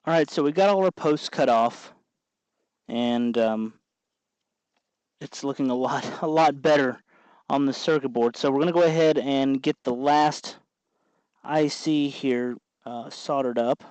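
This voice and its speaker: Guy doing a diy tutorial, average pitch, unhurried step by step explaining while he works, no room echo. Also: darkish tone, clear, resonant tone, highs cut off. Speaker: host of a DIY electronics tutorial. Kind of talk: informal hands-on tutorial narration.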